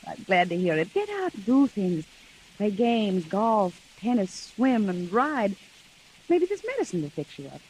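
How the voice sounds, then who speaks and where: A woman playing a peppy, scolding, blunt female companion in brisk 1940s radio acting. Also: mid-range voice, very animated, a little mellow. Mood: brisk, coaxing. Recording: hissy, noisy 1940s radio recording.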